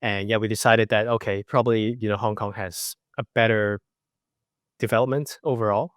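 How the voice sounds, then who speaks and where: A man in his twenties from Macau, a podcast guest telling his story in a relaxed way with lots of fillers. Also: fairly low pitch, normal speed, smooth, dry recording, studio quality. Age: 20s. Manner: relaxed, many fillers like you know. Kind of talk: casual interview.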